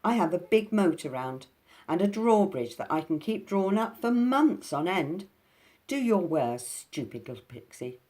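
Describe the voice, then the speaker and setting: A woman's voice: lowish voice, sing-song, unhurried, voice pushed up. A woman voicing a scheming, boastful male creature with a duck's head in a storybook reading. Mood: gloating. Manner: bossy, scheming, loud. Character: sly duck-headed male creature, cat-tailed.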